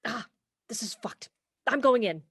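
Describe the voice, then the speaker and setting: A young woman acting in a comic radio play as an earnest, headstrong police officer, brisk and argumentative. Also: on the high side, lively intonation, fairly bright tone, husky, no room sound, clean studio sound, full character voice. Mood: determined, forceful. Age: young adult.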